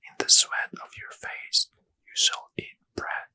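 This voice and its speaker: Slow whispering man reading aloud. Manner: soft unvoiced whisper throughout. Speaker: ASMR reader. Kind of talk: ASMR bedtime scripture reading.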